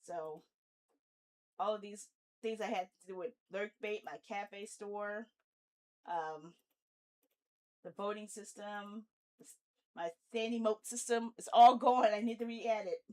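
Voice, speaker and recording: A woman's voice: medium pitch, steady tone, measured pace, long pauses, darkish tone. A woman hosting a livestream, chatting casually and playfully and talking to herself as she sorts out her setup. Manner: playful, talks to herself while troubleshooting. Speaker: live streamer host. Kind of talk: casual livestream chat while setting up a game.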